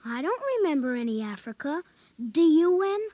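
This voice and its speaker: A woman's voice: high-pitched, animated, moderate pace, no room sound, lo-fi sound. A female performer playing an evasive, falsely innocent young American boy in a 1950s radio drama. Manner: feigned innocence, coolly evasive. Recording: restored 1950s radio broadcast.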